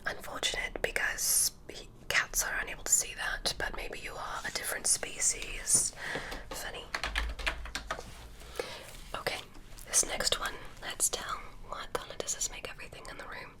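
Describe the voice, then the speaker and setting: A woman voicing a curious alien examiner who tests a human, speaking in a soft, clinical ASMR whisper. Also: normal speed, close to an everyday voice, poor audio. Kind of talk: ASMR medical roleplay.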